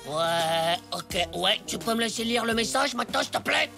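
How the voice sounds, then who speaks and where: A woman's voice: a little high, expressive, moderate pace, vocal fry. A cocky little schoolboy from a cartoon, about ten years old. He talks in brash slang like a self-assured schemer and romantic dreamer. Music plays underneath. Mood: exasperated. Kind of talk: French animated cartoon voice acting.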